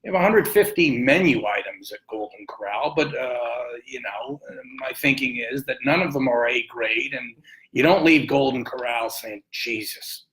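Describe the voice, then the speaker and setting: A man hosting a sports talk show, giving opinions in an emphatic, colorful, rambling way with dramatic pauses. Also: medium pitch, fairly bright tone, rough recording.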